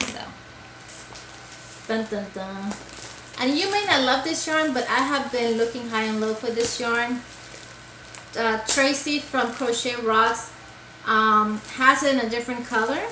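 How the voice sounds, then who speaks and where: A woman's voice: a little high, a little slow, bright, forceful tone, roomy sound, slight background noise, rough recording. A woman from Puerto Rico chatting casually about crafts for her video, rambling with many fillers and restarts. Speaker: crochet vlogger. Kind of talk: casual show-and-tell chat.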